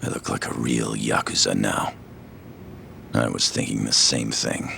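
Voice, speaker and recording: An actor playing a troubled, wary low-ranking yakuza in a video game, gruff and guarded. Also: male voice, steady tone, a little slow, bright, forceful tone, a little hiss or hum, heavily acted. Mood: glum, resigned. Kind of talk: dramatic game voice acting.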